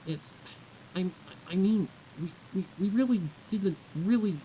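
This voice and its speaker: Man voicing an awkward young pony filly, pitched up. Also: measured pace, dark tone, slight background noise, rough recording. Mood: flustered. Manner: stammering, hesitant. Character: awkward young female pony, nervous and eager to please.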